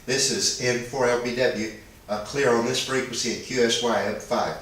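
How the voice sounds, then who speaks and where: A man's voice: medium pitch, steady tone, moderate pace, a bit bright. A man making casual two-way radio calls as an amateur operator, speaking in a hesitant, apologetic way. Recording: echoey, distant, noisy internet radio link.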